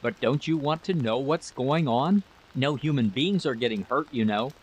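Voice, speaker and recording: A man's voice: medium pitch, normal speed, breathy edge, natural voice, rough recording. A man voicing a proud, irritable husband in a story, complacent and brushing off worries. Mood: sullen. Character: dismissive war-technician husband, proud and irritable.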